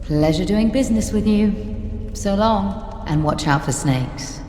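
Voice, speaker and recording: An actress playing a sly, teasing treasure hunter in a fantasy game, with a wry, sarcastic delivery. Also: female voice, medium pitch, animated, slow pace, dark tone, slight background noise. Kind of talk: fantasy game character acting. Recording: echoey hall reverb, music behind.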